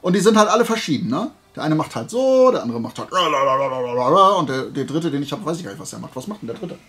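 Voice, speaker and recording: A man's voice: fairly high pitch, big pitch swings, a little fast, a bit bright. A man talks to his viewers as a video host. He rambles along enthusiastically in loose, colloquial, unscripted chat, with music playing behind him. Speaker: video host showing collectible finds.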